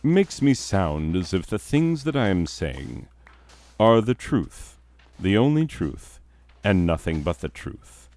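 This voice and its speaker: A man, a teacher, chatting casually in a deep voice with a downward, newscaster-like inflection that sounds authoritative. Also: big pitch swings, slow, darkish tone, no echo. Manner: rambling with restarts and chuckles. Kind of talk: casual chat.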